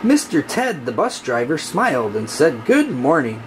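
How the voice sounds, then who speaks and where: A narrator reading a children's picture book aloud in an expressive, deliberate way. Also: mid-range voice, background noise. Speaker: storybook narrator.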